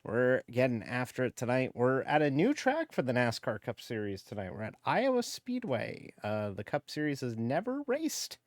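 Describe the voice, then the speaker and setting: A man's voice: mid-range voice, huge pitch swings, normal speed, hardly any pauses, dry recording, studio quality. A man hosting a sim racing live stream, chatting casually and rambling, tripping over words and joking at himself. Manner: rambling, self-deprecating, trips over words.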